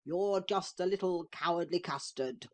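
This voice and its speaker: A woman voicing a sly, boastful male talking horse that mocks and coaxes a boy. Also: lowish voice. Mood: mocking. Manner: slow, coaxing, mocking.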